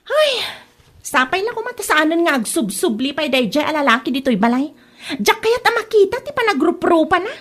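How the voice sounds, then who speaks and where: A woman's voice: extremely high pitch, very animated, brisk, fairly bright tone, full character voice. A woman acting a stubborn, lovestruck young woman in a comedy drama. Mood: defiant.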